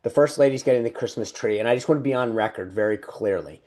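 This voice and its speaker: A middle-aged man co-hosting a casual political chat, talking loosely with many restarts and quick laughs. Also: medium pitch, a little mellow. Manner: chatty, full of restarts and laughs. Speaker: podcast co-host.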